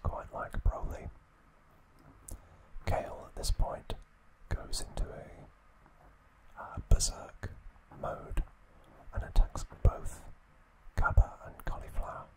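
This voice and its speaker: A man narrating trivia as an ASMR host in a breathy, unvoiced whisper, soft and deliberate. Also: rough recording.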